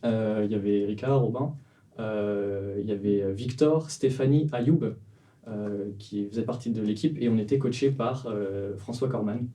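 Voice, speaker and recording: A man's voice: lowish voice, normal speed, warm tone, far from the mic. A man in his twenties from the Vendée, a startup cofounder telling the story of his company in an interview, with many fillers and restarts. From France, Vendée. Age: 20s. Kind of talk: casual interview answers.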